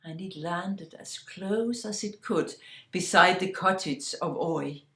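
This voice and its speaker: A woman telling a fairy tale slowly and deliberately, sometimes dropping to a hushed whisper. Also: lowish voice, reverberant. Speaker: storyteller. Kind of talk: oral fairy-tale storytelling.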